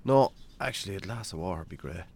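An actor playing a weary, wry Irish farmer in a naturalistic radio drama. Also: male voice, low voice, animated, quick pace, darkish tone, husky. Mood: fond, content.

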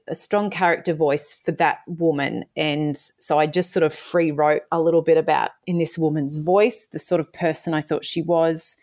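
Low-voiced author from Australia. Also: female voice, a little mellow. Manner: thoughtful, meandering, many fillers and restarts. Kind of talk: interview answers.

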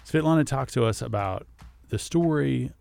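A man's voice: medium pitch, moderate pace, creaky, a little mellow. A man hosting a podcast, introducing and interviewing a guest in a friendly, conversational way with occasional ums. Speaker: podcast host.

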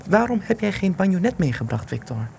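A man's voice: high voice, big pitch swings, brisk, darkish tone, slight background noise, exaggerated voice, poor audio. An actor playing a bitter, haunted, agitated man in wartime hiding, speaking intensely and accusingly in a Dutch radio play. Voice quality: creaky. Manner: intense, emphatic, declamatory.

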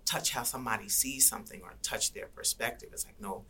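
A woman talking as a guest in an interview, earnest and reflective, sometimes dropping to soft asides. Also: a bass voice, a little fast, husky. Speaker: guest rapper and activist. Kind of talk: conversational interview.

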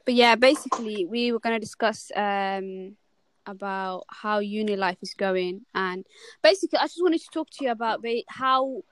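A woman's voice: mid-range voice, no echo. A young woman chatting casually with a friend, asking eager questions about university. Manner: curious, eager, laughs easily. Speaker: interviewer friend. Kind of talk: casual chat between friends.

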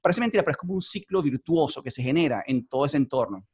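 A Venezuelan man conducts an informal, chatty interview. His voice is fairly high and husky, and he talks quickly, tossing English words into his Spanish. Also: lively intonation, a little mellow.

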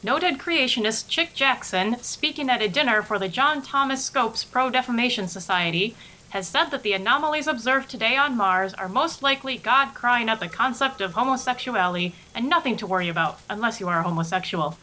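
A woman playing a brisk, deadpan news anchor in a spoof of 1930s radio. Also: on the high side, rough recording. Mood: deadpan, newsreading. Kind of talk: scripted spoof radio newscast.

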